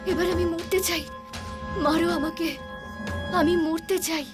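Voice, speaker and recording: A woman acts out a haunted young woman from a horror tale. The voice sits high and is intense and melodramatic. Music plays clearly behind it. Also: fairly even intonation, measured pace. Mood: anguished, pleading. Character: vengeful burnt female ghost, and the young daughter-in-law she bewitches. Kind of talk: dramatized horror story acting.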